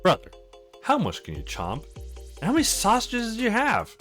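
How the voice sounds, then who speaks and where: A man's voice: high-pitched, wildly swooping pitch, moderate pace, fairly bright tone, soft background music. A man hosting a let's play, reading visual novel dialogue aloud, acting out lines and adding casual commentary. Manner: acts out characters' lines with exclamations.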